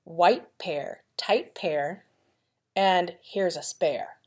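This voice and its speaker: A woman's voice: on the low side, extremely slow, a little mellow, breathy edge. A woman reading a children's picture book aloud in a playful, dramatic manner, with chatty asides to young listeners. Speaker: elementary school vice principal reading to pupils.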